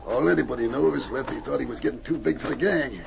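Gravelly old-radio outlaw. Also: male voice, mid-range voice, quick pace. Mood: confiding. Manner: folksy, ungrammatical drawl of an outlaw. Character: rough male fur-smuggling gang member, shifty and gossipy. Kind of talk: 1940s radio acting. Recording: noisy, muffled old radio recording, echoey.

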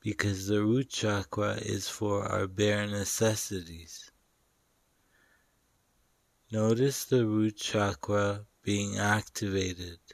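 A man guiding a meditation in short, deliberate phrases with long pauses between them. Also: fairly low pitch, level tone, gravelly, no echo. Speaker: meditation guide. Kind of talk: guided meditation narration.

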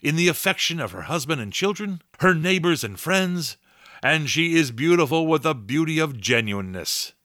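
A man's voice: fairly high pitch, expressive, moderate pace, a bit bright, no echo, clean studio sound. An actor playing a grandiose man announcing and narrating in a dramatic 1930s radio style. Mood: lofty salesmanship. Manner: dramatic, emphatic. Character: grandiose male old-time radio announcer.

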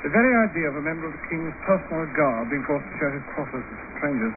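Man playing a haughty, fast-talking colonel. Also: a little high, expressive, lightly acted. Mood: indignant. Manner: pompous, curt, formal. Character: haughty, irritable colonel of a royal guard. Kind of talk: 1950 radio drama acting. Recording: noisy, tinny old radio broadcast recording.

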